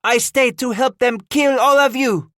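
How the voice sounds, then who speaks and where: A man voicing a shrill, defiant aging French matriarch in an audiobook, shrieking curses in broken English. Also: steady tone, a little slow, fairly bright tone. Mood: defiant fury.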